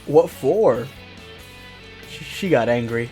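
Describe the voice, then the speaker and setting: Very low-voiced woman hosting a let's-play. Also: takes it slowly. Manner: playful, animated asides. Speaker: host of a gaming show. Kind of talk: casual let's-play chat and dramatic read-aloud of a visual novel. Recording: guitar game music behind the voice. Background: guitar game music.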